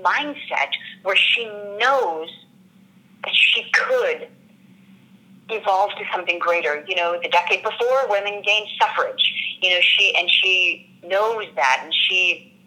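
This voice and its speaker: 밝고 또렷한 목소리의 여배우, 진지한 인터뷰. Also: female voice, medium pitch, slow, pushed and bright, rough recording. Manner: earnest and reflective, searching for words. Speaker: actress discussing her role. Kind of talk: interview answers.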